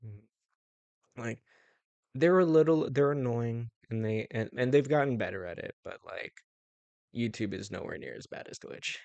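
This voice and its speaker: A man's voice: medium pitch, lively intonation, normal speed, vocal fry, a little mellow, no echo. A young man chatting casually on a livestream, rambling with lots of fillers and restarts and chuckling often. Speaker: streamer.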